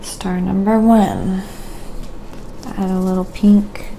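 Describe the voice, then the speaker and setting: A woman hosting a casual live painting stream, talking quietly to viewers and thinking aloud step by step as she works. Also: medium pitch, flat delivery, takes it slowly, vocal fry, slight background noise, rough recording.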